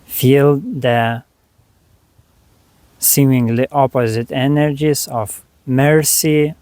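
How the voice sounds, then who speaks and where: Very slow-paced man guiding meditation. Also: mid-range voice, steady tone, a little mellow, dry recording. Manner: unhurried, short phrases with long pauses. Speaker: meditation guide. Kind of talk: guided meditation, spoken slowly to the listener.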